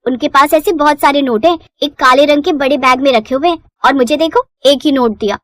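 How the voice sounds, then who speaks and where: A woman's voice: sits high, fairly quick, no echo, clean studio sound, close to an everyday voice. A woman voicing a naive, chatty little girl in a cartoon moral story, eager and guileless. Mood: eager, innocent.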